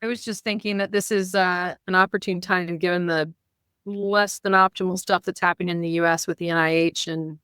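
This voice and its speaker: Participant, woman, even and smooth, measured remark. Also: on the low side, dry recording, studio quality. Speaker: participant commenting.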